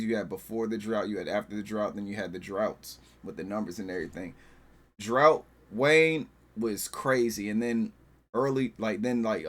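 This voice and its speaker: Easygoing man rambling through a reaction. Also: medium pitch. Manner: rambling, loose, with frequent filler phrases. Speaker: music reaction host. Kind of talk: casual reaction commentary.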